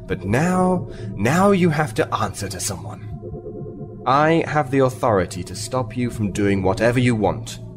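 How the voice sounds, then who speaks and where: An actor playing a firm, formal military captain who is politely confrontational, in a sci-fi audio drama. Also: male voice, medium pitch, big pitch swings, measured pace, over music. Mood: stern. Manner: formal, assertive.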